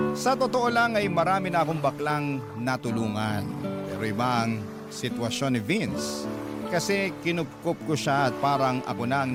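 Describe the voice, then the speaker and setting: Man as heartfelt Filipino storyteller over loud music. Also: medium pitch, close to an everyday voice. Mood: earnest, reflective. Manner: earnest, confiding. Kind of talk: first-person radio drama narration. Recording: loud music bed behind narration.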